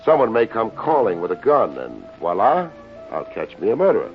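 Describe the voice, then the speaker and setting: An actor playing a cocky, hardboiled private detective in an old-time radio drama, narrating and bantering in wry slang. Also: male voice, on the low side, huge pitch swings, moderate pace. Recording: muffled old radio recording with music.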